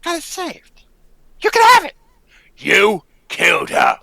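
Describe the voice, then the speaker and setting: A woman's voice: medium pitch, huge pitch swings, takes it slowly, vocal fry, bright, forceful tone, husky, no room sound, studio quality, heavily acted. A woman voicing a sinister, desperate circus tiger trainer in a radio play, drawing words out and swinging between whispers and cries. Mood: frantic, pleading. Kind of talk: live old-time radio play table read.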